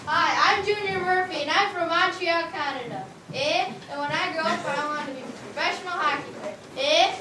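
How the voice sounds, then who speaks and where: A man's voice: very high-pitched, normal speed, hardly any pauses. A boy at camp playing a cheerful Canadian kid who ends his lines with "eh", in a stage play. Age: young. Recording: echoey hall, far mic, audience noise.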